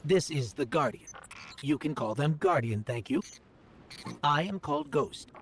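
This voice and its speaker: A small robot companion from a video game, speaks in a fairly high, very animated voice. It is earnest and polite, with precise wording and an innocent curiosity. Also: male voice, unhurried, a little hiss or hum. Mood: polite, slightly firm.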